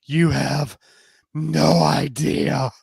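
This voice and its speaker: A man's voice: a little high, flat delivery, very slow, gravelly, darkish tone, husky, dry recording, studio quality, voice pushed up, heavily acted. A man voicing a bold, flirtatious woman in a romance drama, with a purring, sultry, teasing delivery.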